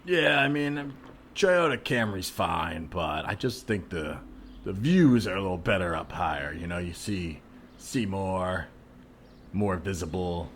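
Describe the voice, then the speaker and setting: A man's voice: sits low, expressive, unhurried, fairly bright tone, slight background noise, exaggerated voice. A man playing an insistent, uneasy husband in an improvised comedy sketch. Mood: matter-of-fact.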